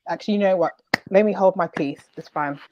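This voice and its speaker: Low-voiced woman on a muffled line. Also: a little fast, background noise. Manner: inquisitive, polite. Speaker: call-in participant. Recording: muffled lo-fi call audio.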